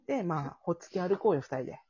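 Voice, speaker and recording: A woman's voice: on the low side, animated, fast talker, dark tone, husky, highs cut off. A woman hosting a casual audio show, chatting as she sets up and narrates an improvised skit. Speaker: host and narrator.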